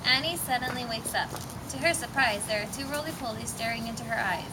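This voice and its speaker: A woman's voice: fairly high pitch, fast talker. A woman narrator reading a scripted children's story aloud. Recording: very noisy amateur recording.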